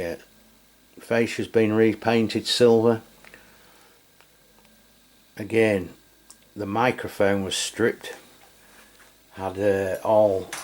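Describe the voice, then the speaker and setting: A man hosts a casual hands-on review in a deep voice. He talks in a matter-of-fact, rambling way, feeling for his words as he goes. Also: darkish tone. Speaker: radio review host.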